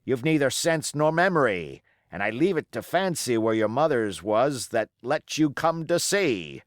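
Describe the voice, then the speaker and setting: A man's voice: medium pitch, very animated, unhurried, no room sound, studio quality, pitched above the performer's own voice. A man voicing a cunning, scornful pirate ringleader in an audiobook, taunting and emphatic with rhetorical questions. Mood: contemptuous. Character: Long John Silver, scornful cunning male pirate ringleader.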